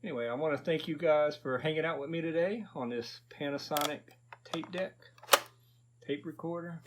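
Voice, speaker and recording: A man's voice: on the high side, moderate pace, heavy background noise. A man in his fifties casually narrating a repair to viewers, thinking aloud in a folksy way. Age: 50s. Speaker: host of a repair video channel.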